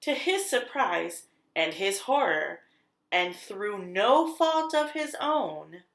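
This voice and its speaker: A woman reads a children's picture book aloud as a storyteller, with expressive delivery and deliberate, dramatic emphasis on key words. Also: mid-range voice. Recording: roomy, echoing sound.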